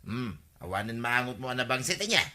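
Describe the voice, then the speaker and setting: A man's voice: medium pitch, animated, moderate pace, pushed and bright. A man playing a grumbling, henpecked village husband in an Ilocano comedy radio drama, protesting in a whiny, exclamatory way. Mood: griping banter. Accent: Filipino, Ilocano. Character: grumbling henpecked village husband, farmer-vendor who likes a drink.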